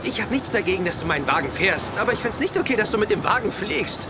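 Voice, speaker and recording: An actor playing an anxious, put-upon boyfriend in a German sitcom audio play, plaintive and defensive. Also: male voice, sits high, expressive, quick pace. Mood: worried, plaintive. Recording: noisy, with clear background ambience.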